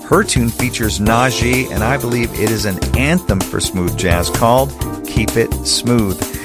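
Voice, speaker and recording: A man's voice: mid-range voice, unhurried, over loud music. A man reading a scripted, upbeat radio promo for his jazz show. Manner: upbeat, smooth promo read.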